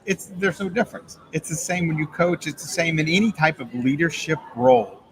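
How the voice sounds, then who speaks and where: A man hosting a podcast interview, often chiming in with quick words of agreement. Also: a little high, expressive, background noise. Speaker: podcast host. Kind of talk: casual interview chat.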